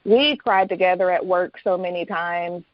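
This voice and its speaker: A woman's voice: on the low side, moderate pace, dry recording, muffled recording. A woman speaking as a guest author in a conversational, reflective way. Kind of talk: reading aloud from own novel, then interview chat.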